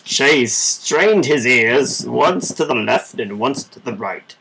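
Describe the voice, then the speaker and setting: A man reading a children's story aloud in an informal, measured amateur way. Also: mid-range voice, lively intonation, creaky, sharp tone, slight background noise, poor audio. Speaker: storybook reader.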